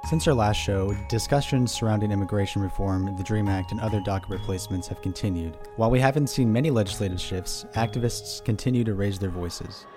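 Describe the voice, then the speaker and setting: A young man co-hosting and reporting on a news podcast, reading his script in a measured way. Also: fairly low pitch. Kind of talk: scripted podcast narration with banter. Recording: music bed underneath.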